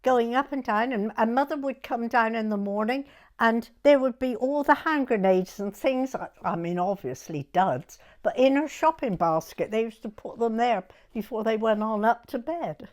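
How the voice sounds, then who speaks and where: A woman in her nineties from Dorset with a southern English accent, reminiscing in an interview in a hesitant, halting way and repeating words. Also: a little high, lively intonation, darkish tone. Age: 90s. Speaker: oral history interviewee.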